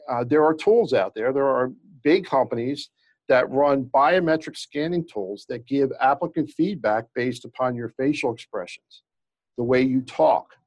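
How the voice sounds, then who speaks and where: A man of middle pitch speaks with a slight stutter, often restarting words. He explains legal examples in a conversational interview manner. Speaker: employment lawyer, guest.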